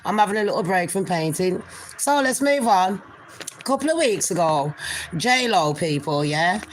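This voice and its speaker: A woman's voice: mid-range voice, lively intonation, moderate pace, slight background noise, low-quality recording. Nasal woman chatting to viewers. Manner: chatty, rambling, calls viewers people and darlings. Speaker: gossip livestream host. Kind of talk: casual live chat to viewers.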